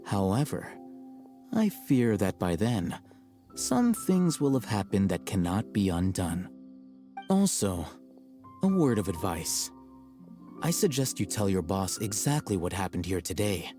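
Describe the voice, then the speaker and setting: Low, mellow, deadpan scholar. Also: male voice, soft background music. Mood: calm, coldly threatening. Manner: measured, deadpan, formal. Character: cool, calculating young male scholar, bluntly self-assured. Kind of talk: video game voice acting.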